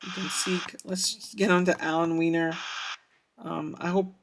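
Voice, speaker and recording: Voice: mid-range voice, flat delivery, measured pace, a bit bright, a little hiss or hum, rough recording. A reviewer giving a casual product demonstration, thinking aloud in a hesitant way. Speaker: radio reviewer.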